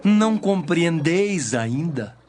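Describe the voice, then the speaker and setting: A grave, solemn teacher from an audio Bible drama , acted by a man. The voice is a slightly high male one, deliberate and declamatory, with music clearly playing behind it. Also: lively intonation, a little mellow. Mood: reproachful.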